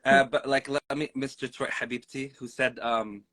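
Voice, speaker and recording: A man's voice: medium pitch, fairly even intonation, moderate pace, bright, forceful tone. A young man talking as an interview guest, chatty and full of filler words. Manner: chatty, lots of "like". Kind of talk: casual interview chat.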